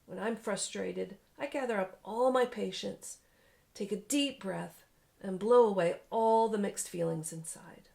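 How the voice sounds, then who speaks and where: Woman reading as a gentle, patient dad. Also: mid-range voice, measured pace, vocal fry. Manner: soothing, gentle.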